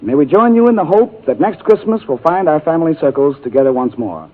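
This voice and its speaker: A man's voice: fairly high pitch, expressive, fairly quick. A young man with an eager, boyish delivery, co-hosting a 1940s radio comedy show. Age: young adult. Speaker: comedy co-host. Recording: tinny 1940s radio broadcast with audience. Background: studio audience laughter.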